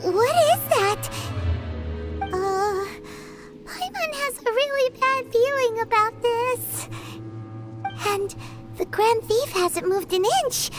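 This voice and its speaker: A little childlike game companion with an extremely high, squeaky voice. She is excitable and timid, exclaiming at everything with a lively melody, yet takes her words at an unhurried pace. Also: loud music behind the voice, exaggerated voice. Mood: puzzled, uneasy. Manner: refers to self in third person, exclamatory. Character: tiny excitable childlike floating girl companion, easily frightened. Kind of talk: video game character acting.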